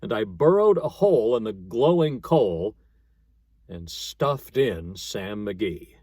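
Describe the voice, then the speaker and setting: A man reading a narrative poem aloud as a dramatic storyteller, with measured, rhythmic delivery. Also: lowish voice, very animated, warm tone, no echo. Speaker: narrator, poetry reader.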